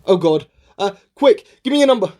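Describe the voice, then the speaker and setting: An amateur actress playing a scatty, curious, excitable friend in a radio play, in a chirpy, playful manner. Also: female voice, mid-range voice, a little fast, close to an everyday voice. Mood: flustered.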